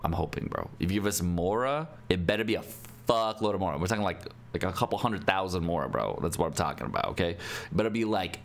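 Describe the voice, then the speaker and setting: Young male streamer, lower register, informal and sweary, casual commentary while reading aloud. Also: lively intonation, fast talker. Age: young adult. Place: indoor room at a computer, livestream.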